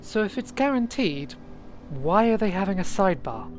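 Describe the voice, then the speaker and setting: A woman's voice: medium pitch, very animated, normal speed, lightly acted. A woman playing a sardonic, impatient young explorer and loner in a fantasy audio drama, grumbling bluntly. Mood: sardonic, wary.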